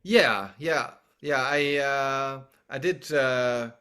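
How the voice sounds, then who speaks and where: A man with a Dutch accent, a music school founder talking casually as a podcast guest, speaking in halting, restarting phrases. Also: medium pitch, pushed and bright, clear, resonant tone. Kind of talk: casual conversational interview.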